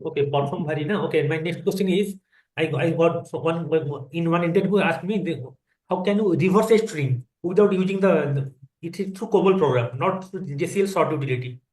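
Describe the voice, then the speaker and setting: A man's voice: on the high side, a little fast, a little mellow. A man posing a question in a casual group discussion, checking others follow. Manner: informal, checks understanding. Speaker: forum participant asking questions. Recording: echoey room on a call.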